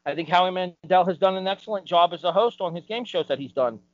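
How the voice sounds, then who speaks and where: Male podcast panelist, slightly high voice, emphatic arguments, dry and dull lo-fi sound. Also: fast, no echo. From United States. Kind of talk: opinionated panel discussion.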